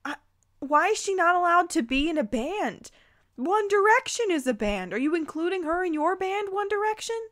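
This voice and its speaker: A woman reading a story aloud informally, breaking in with expressive, amused reactions and exclamations. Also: extremely high pitch, moderate pace, dry recording, studio quality. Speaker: host reading fan fiction aloud with commentary.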